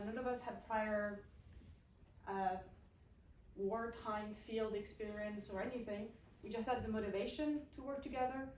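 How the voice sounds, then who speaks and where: Halting young woman, high voice, distant mic. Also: a little mellow. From Switzerland. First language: not English. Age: young adult. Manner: halting, pausing mid-phrase. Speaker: university student speaker. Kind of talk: personal account to an event audience. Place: indoor event room at a college. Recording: roomy, distant mic, faint noise.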